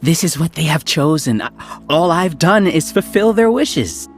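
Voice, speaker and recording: An actor playing a coldly rational, smug male mastermind in a video game, haughty, deliberate and lecturing. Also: on the high side, expressive, faint music behind. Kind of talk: video game villain acting.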